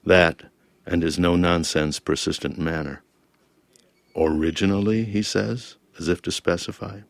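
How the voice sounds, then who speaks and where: A man's voice: low voice, fairly even intonation, unhurried, gravelly, no echo. A man, born in Lebanon and raised in Ohio, reading his personal essay and then answering interview questions in a thoughtful, wry, self-questioning way. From Lebanon-born, raised in Toledo, Ohio. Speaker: author and interview guest.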